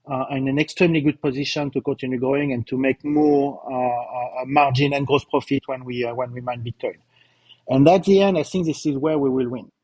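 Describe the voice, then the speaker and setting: A man's voice: medium pitch, darkish tone, husky, no room sound, low-quality recording. A middle-aged man with a slight French accent, a company co-founder giving a live results presentation, emphatic, with many fillers and repeated words.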